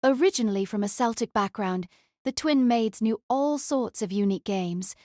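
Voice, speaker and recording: A woman's voice: a little high, lively intonation, normal speed, darkish tone, no echo, studio quality. A woman reading scripted fiction narration as the narrator of a novel.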